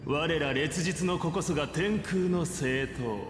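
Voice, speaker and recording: A man's voice: fairly high pitch, moderate pace, natural voice. An actor voicing a proud, zealous man of an ancient people in a video game, declaiming solemnly and haughtily. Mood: solemn proclaiming. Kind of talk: Japanese video game voice acting. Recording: echoing long hall reverb over music.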